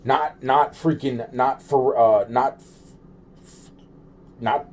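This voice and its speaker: A man giving a rambling, informal monologue to camera, halting and repetitive, often trailing off and circling back, with slangy, profane wording. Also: mid-range voice, fairly even intonation, a little mellow. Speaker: solo video maker.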